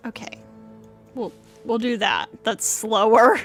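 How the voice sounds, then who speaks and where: Higher-pitched woman streaming a game. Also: slow pace, fairly bright tone, faint music behind. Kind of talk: casual livestream commentary, reading game dialogue aloud.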